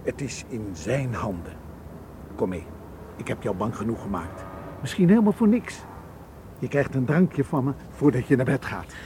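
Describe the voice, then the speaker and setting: A man's voice: medium pitch, expressive, dark tone, natural voice. Man acting a concerned, level-headed tour guide, noisy recording. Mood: calm, reassuring. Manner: measured, careful, reassuring. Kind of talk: radio drama acting. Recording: noisy old radio play recording.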